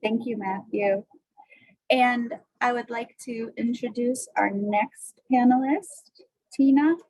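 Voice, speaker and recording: Woman moderator, slow, upbeat, dry sound. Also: a little high, darkish tone, no room sound, rough recording. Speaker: panel moderator. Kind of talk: video call panel moderation. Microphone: video call.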